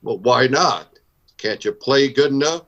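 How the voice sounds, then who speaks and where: Gravelly, stern Old West marshal played by a man. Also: medium pitch, animated, unhurried, a bit bright, highs cut off. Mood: calm, questioning. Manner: plain-spoken, commanding. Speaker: voice actor in radio show recreation. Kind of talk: old-time radio Western acting.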